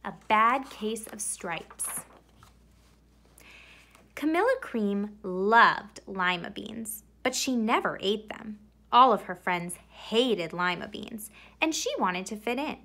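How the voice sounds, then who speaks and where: A woman reading aloud as a storyteller for children, expressive and deliberate, addressing young listeners directly. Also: mid-range voice. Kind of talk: expressive children's picture-book narration.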